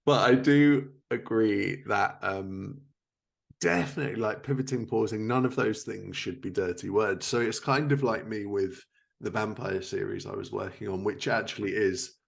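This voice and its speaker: A man's voice: lowish voice, moderate pace, creaky. A man hosting a casual podcast conversation about his work, speaking as a writer. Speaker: podcast host and fiction writer.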